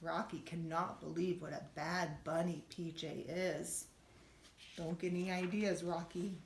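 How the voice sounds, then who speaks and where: Echoey, lower-pitched woman reading to children. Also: measured pace, vocal fry, slight background noise. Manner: expressive, gently questioning, speaking to young children. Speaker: schoolteacher reading a bedtime story. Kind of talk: children's storybook read-aloud with asides to listeners. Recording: roomy, echoey room sound.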